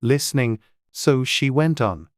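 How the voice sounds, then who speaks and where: A man's voice: mid-range voice, lively intonation, measured pace, no room sound, studio quality. A man reading scripted storybook narration as the narrator of an audiobook.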